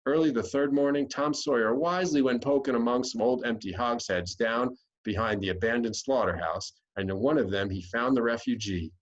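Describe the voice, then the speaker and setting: A man's voice: mid-range voice, brisk. American man reading a story, slightly dull sound. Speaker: audiobook reader. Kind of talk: scripted storybook narration.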